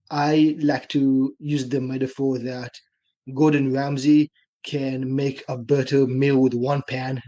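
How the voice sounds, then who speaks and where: A young man in his twenties from Israel, with an Israeli accent, telling his story in a relaxed way as a podcast guest. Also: medium pitch. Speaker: guest, personal trainer. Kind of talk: casual podcast interview. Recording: dull lo-fi remote audio.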